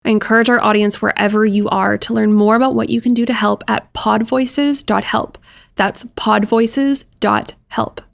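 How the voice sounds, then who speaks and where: A woman from Canada reading a scripted public appeal to listeners, earnest and measured. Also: on the high side, fairly even intonation, creaky, dry recording. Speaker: podcast representative.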